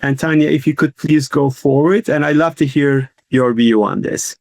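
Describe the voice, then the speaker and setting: A man's voice: fairly high pitch, moderate pace, gravelly, darkish tone, no echo. A man moderating a live investor panel, introducing speakers with many fillers and restarts.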